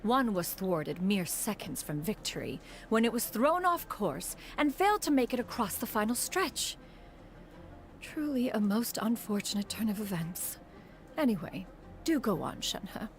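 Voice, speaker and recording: An actress playing a haughty, ancient immortal mentor in a video game, with pompous archaic speech. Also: female voice, medium pitch, lively intonation, unhurried. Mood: lofty, rueful. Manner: pompous, archaic speech, refers to self as "one". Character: haughty ancient adeptus bird in woman's form, imperious mentor. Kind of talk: scripted video game dialogue. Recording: music playing behind.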